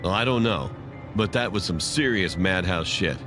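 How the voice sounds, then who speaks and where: Deep-voiced male horror story narrator. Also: normal speed. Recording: prominent music under the voice.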